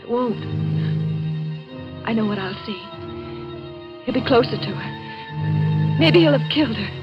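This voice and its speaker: An actress playing a fragile, terrified young woman near madness in a radio suspense play, repeating herself in halting, pleading words. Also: fairly high pitch, animated, dull audio, over loud music. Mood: anxious, self-soothing. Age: young adult. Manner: halting, repeating, panicky self-talk. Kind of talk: 1940s radio suspense acting. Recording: old radio drama recording.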